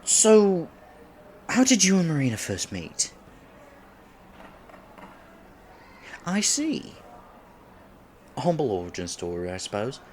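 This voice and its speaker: A man's voice: a little high, huge pitch swings, measured pace, darkish tone, a little hiss or hum. A man acting in a roleplay audio drama as an awkward, geeky man on a blind date, stammering, flustered and self-deprecating. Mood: flustered, eager.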